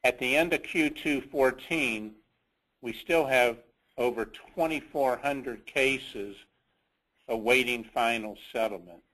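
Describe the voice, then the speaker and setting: A man with a mid-pitched voice leads an investor call, going through slides of business figures. He speaks slowly and haltingly, pausing inside his sentences as he presents. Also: fairly bright tone, low-quality recording. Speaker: company executive leading an investor conference call.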